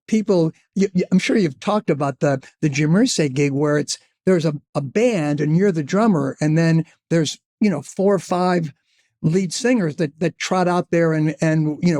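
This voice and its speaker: A man's voice: fairly high pitch, normal speed, a little mellow. A man in his seventies, a guest singer on a podcast, telling stories in a rambling, casual way and searching for his words. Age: 70s. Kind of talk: casual storytelling chat.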